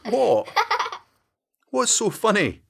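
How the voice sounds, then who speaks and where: A man voicing a wry, teasing Scottish detective past forty with a deadpan humour. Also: high voice, slow pace, gravelly, slightly rough, voice pushed up, exaggerated voice.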